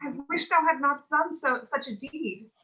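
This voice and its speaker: A woman's voice: high-pitched, moderate pace, pushed and bright, muffled recording. A woman acting an earnest, protective teenage babysitter, speaking reassuring, formal mock-Shakespearean dialogue in a staged reading. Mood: reluctant. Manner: formal archaic diction, reassuring.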